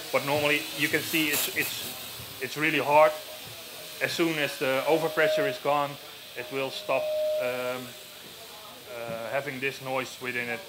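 A man's voice: mid-range voice, poor audio. A man in his thirties with a Dutch accent, giving a live stage demonstration in a marquee on a headset mic, explaining plainly with many fillers. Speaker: safety equipment rep. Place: large marquee at outdoor show.

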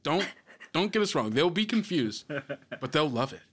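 A man's voice: a little high, sing-song, normal speed, slight background noise. A man hosting a podcast in casual, chummy banter with informal wording. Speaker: podcast host.

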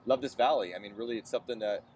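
An American man speaks quickly and fluently as an interview guest. His answers are earnest and conversational. He is recorded outdoors, slightly distant from the mic, with a faint hum behind him. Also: on the low side, a little mellow. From Central California, USA. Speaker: interview guest, farm organization chief. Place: outdoors on an empty baseball stadium field.

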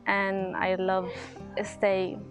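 A woman from Colombia giving casual interview answers in simple, slow phrasing. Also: mid-range voice, monotone, faint music behind. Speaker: interviewee, language student.

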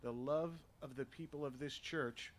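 A man's voice: mid-range voice, moderate pace, a little hiss or hum. Man formally addressing confirmands outdoors.

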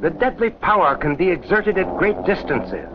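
On a dull 1940s film soundtrack with prominent music behind, a man narrates in a fairly high-pitched voice. His delivery is solemn and declamatory, like a scripted educational short of the period. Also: sing-song, normal speed. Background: orchestral film score music.

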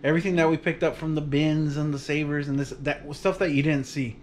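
Relaxed man chatting on his couch. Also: on the high side, brisk, slight background noise. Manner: relaxed, rambling, laughs often. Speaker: vlog host. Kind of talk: casual chat to camera. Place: living room at home, on a couch. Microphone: camera across the room.

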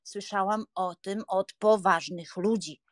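A woman's voice: mid-range voice, fairly even intonation, a little slow, dry recording, studio quality, lightly acted. A woman playing a pious, fretful elderly aunt in an audiobook drama, scolding, sighing and lamenting. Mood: grave, sorrowful.

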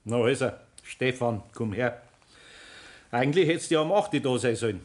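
An actor playing a patient but firm older master carpenter with a Bavarian accent, speaking in a homely, deliberate way in a 1960s radio play. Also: male voice, mid-range voice, expressive, natural voice. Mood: patient, instructing.

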